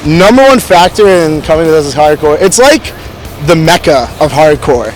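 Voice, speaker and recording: A man's voice: a little high, very animated, moderate pace, faint music behind, low-quality recording. A man from Massachusetts speaking emphatically and eagerly in an outdoor street interview. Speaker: festival attendee. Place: outdoor parking lot.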